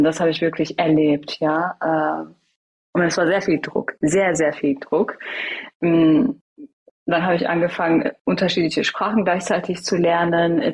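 Soft-spoken woman, native Farsi speaker. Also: on the low side, breathy edge, rough recording. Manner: hesitant, many fillers, reflective. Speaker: guest. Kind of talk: personal storytelling in a casual interview.

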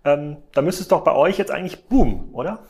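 A man's voice: fairly high pitch, expressive, quick pace, darkish tone, husky. A man hosting a podcast, chatty and casual, with many fillers. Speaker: podcast host.

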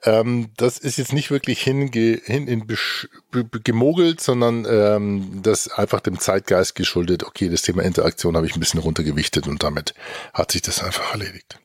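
Give this voice to a low-pitched man hosting a podcast. He banters casually and jokingly, often breaking off mid-sentence to restart. The sound is very dry, with no room around it. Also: steady tone, slightly rough, no echo. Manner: halting, self-interrupting, jokey banter. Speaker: podcast host.

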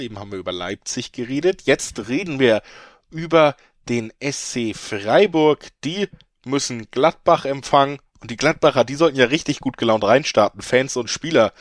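A man co-hosting a football betting podcast, slightly hoarse from a cold, talking in a rambling, emphatic way and often correcting himself. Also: mid-range voice, lively intonation, normal speed, no echo, clean studio sound. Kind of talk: casual football analysis chat.

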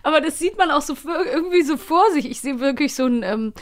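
A woman's voice: sits high, lively intonation, quick pace. A woman hosting a podcast in casual chat, with a playful, gushing, slangy way of talking. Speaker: podcast host.

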